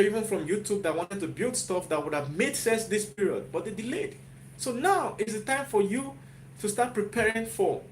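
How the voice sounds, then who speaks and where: A man's voice: high-pitched, slight background noise, low-quality recording. A man with a Nigerian accent, a YouTuber talking to viewers in a live stream, urging them on and checking in with them again and again. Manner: motivational, repetitive, checks in with "you get?". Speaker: YouTuber and software developer. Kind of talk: live-stream pep talk.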